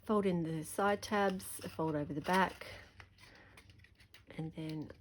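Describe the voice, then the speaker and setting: An Australian woman with a slightly croaky voice, chatting through a hands-on craft tutorial at her desk. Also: on the low side, takes it slowly, a little hiss or hum. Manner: chatty, relaxed, thinking aloud. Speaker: craft demonstrator.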